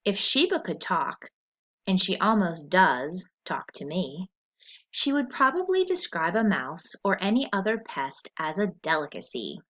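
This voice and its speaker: A woman teacher reading a story aloud in an expressive, deliberate, instructional way, as for a lesson with questions. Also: lowish voice. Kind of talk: scripted story read-aloud with comprehension questions. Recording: dull lo-fi recording.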